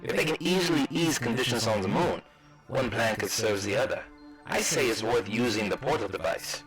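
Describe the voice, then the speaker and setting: A man voicing a calm, formal, scholarly strategist in a comic reading, explaining in measured phrases. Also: mid-range voice, lightly acted, rough recording. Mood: calm, serious. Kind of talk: comic book voice acting. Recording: music clearly under the voice.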